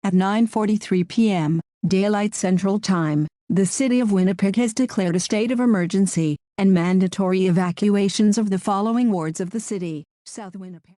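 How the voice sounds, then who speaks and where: A woman's voice: medium pitch, normal speed, dark tone, no room sound. A woman reading a formal, scripted emergency announcement. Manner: formal recitation.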